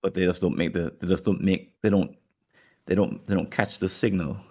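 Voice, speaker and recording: A man's voice: sits low, fairly even intonation, normal speed, darkish tone. A man with a Jamaican accent co-hosting a podcast, talking casually and rambling, repeating words as he thinks. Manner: rambling, repeats words while thinking, acts out quoted people. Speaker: podcast co-host.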